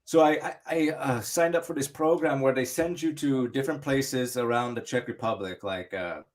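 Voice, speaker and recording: Man, casual chat, rambling, restarts, fillers, mid pitch. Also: moderate pace. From United States. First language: English. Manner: rambling, many restarts and "you know".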